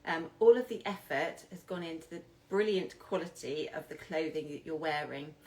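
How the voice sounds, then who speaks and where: A woman's voice: low voice, fairly even intonation, normal speed, a little mellow, poor audio. A British woman giving an informal style lesson as a coach, speaking hesitantly with self-deprecating, apologetic asides. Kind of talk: informal instructional talk.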